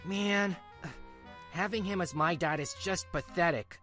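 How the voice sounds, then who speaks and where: A woman's voice: lowish voice, measured pace, a bit bright, faint music behind. A woman voicing a blunt, exasperated seventeen-year-old boy in a manga dub. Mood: indignant.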